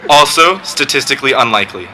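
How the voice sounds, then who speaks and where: A teenage boy, valedictorian, giving a graduation speech with joking, deadpan asides. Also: medium pitch, quick pace, background noise. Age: teens. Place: outdoor graduation ceremony.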